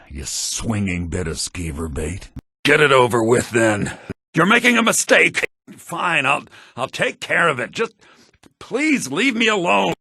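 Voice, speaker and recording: An actor playing a brash, sharp-tongued fantasy townsman and fighter in a video game, with a rough, gravelly voice. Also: on the high side, dry recording, studio quality, heavily acted. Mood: resigned, dry.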